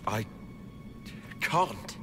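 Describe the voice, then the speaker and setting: Confused fantasy game prisoner guy, earnest n defensive, slow halting talk, music pretty loud behind. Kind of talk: dramatic fantasy game acting.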